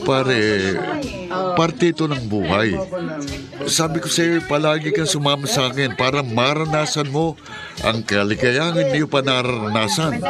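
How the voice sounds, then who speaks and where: An actor plays a worldly, goading man in a radio drama. His mid-range voice is pushy and cajoling. Music plays clearly behind him. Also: medium pitch, natural voice. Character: worldly, pushy male friend tempting a man into vice.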